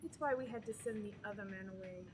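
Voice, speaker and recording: A woman's voice: medium pitch, fast, natural voice. A young woman acting a wary townswoman in a radio play, polite but curt and dismissive. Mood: guarded. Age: teenager. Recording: noisy background.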